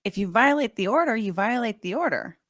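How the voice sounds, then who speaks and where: A woman doing a dramatic reading as a brisk, no-nonsense trial judge, blunt and firm in manner. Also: on the high side, animated, fairly bright tone, no room sound, clean studio sound. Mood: firm, impatient. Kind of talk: dramatic reading of a court transcript.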